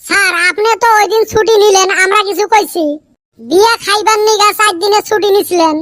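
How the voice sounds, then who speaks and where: A woman's voice: extremely high pitch, brisk, noisy background. A woman voicing cheeky, scheming village schoolboys in a comedy cartoon, arguing and wheedling with mock innocence in a Rajbongshi accent. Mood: argumentative. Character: cheeky, scheming village schoolboy pupils, high-pitched and childlike. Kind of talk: Bengali comedy cartoon dubbing.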